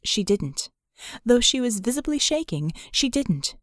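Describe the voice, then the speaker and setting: A woman's voice: on the high side, very animated, a little slow, dry recording. Woman narrating fiction, very dry sound. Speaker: audiobook narrator. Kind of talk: dramatic scripted narration.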